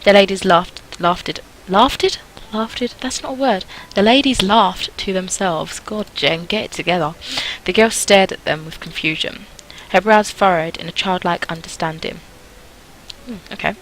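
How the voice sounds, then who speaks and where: A woman's voice: mid-range voice, a little slow, fairly bright tone, slight background noise, poor audio. A woman hosting a gaming video, reading a visual novel aloud with casual, playful, self-mocking commentary.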